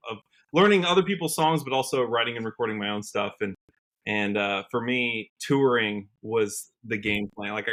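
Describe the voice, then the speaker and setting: A man's voice: fairly low pitch, fairly bright tone. An American man in his early thirties, a musician talking casually as an interview guest, often saying "you know.". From Washington State, United States. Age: early 30s. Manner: conversational, peppers talk with "you know".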